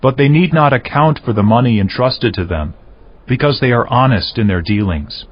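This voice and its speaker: Ancient king, muffled recording, medium pitch, authoritative, earnest, declamatory, scripture drama. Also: lively intonation, moderate pace, husky. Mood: commanding.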